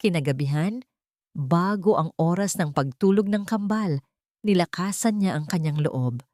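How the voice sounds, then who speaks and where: A woman narrates a dramatic story from a script. Her voice sits low and mellow, with very little room sound around it. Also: lively intonation, a little slow, dry recording, studio quality.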